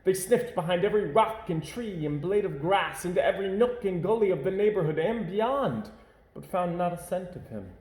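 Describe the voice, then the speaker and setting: A man reads a children's picture book aloud at home, shaping each line expressively. His voice is mellow and dark-toned, and the room adds a noticeable echo. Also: high-pitched, normal speed. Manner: expressive, varied reading. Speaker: storyteller. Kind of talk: children's storybook read-aloud.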